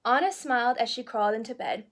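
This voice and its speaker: A woman's voice: fairly high pitch, fast talker. A woman narrating a children's storybook expressively, with little asides to young listeners. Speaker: storyteller reading a children's book aloud in character as a princess.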